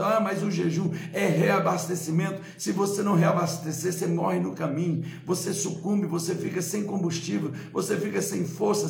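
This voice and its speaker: A man's voice: high-pitched, creaky, roomy sound. A male pastor preaching a sermon with emphatic, repeated exhortations.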